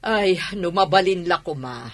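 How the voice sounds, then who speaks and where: A woman playing a haughty, fussy, expressive mother in an Ilocano audio drama. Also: lowish voice, measured pace. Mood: fussing. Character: haughty, expressive mother, fussy about her son's match.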